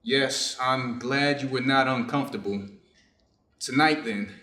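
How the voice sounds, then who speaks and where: A man's voice: mid-range voice, unhurried, pushed and bright. A man playing a reserved, conflicted Japanese doctor in a staged reader's theater drama, formal and guarded in his phrasing. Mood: resolved. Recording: roomy, echoing space.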